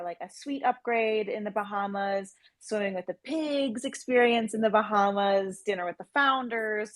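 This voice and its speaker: A woman's voice: mid-range voice, no room sound. A woman speaking as an interview guest, explaining things in a casual, promotional way with plenty of "like" in her chatty delivery. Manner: chatty, explanatory, peppers speech with "like". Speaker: interview guest, project team member.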